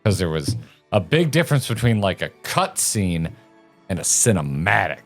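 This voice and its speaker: A man co-hosting a video game show, talking casually in an animated, rambling and opinionated way. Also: deep voice, moderate pace, faint music behind. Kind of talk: casual chat and commentary.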